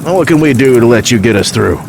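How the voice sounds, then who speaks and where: An actor playing a wry, cool-headed long-haul trucker who talks in folksy slang. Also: male voice, mid-range voice, lively intonation, fast talker, creaky. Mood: affable bargaining. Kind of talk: naturalistic audio drama dialogue. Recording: noisy truck cab with engine. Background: vehicle engine.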